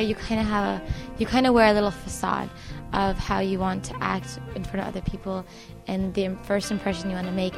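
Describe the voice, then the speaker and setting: A teenage girl from Florida, chatty and reflective about making friends at camp. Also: medium pitch. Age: teens. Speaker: camper.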